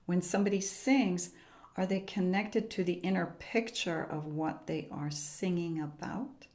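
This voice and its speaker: A middle-aged woman voice teacher explaining her method as a podcast guest, in a calm, measured, unhurried way. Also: on the low side.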